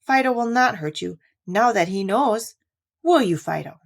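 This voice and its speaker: A woman voicing a friendly, curious boy rag doll in a storybook, with a lively manner. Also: mid-range voice, moderate pace, creaky.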